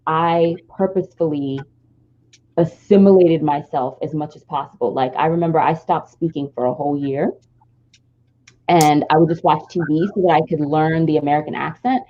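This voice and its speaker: A woman's voice: low-pitched, normal speed, muffled recording. A Nigerian-born woman with no trace of an accent, talking earnestly and reflectively in a long, flowing personal story on a podcast. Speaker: podcast co-host.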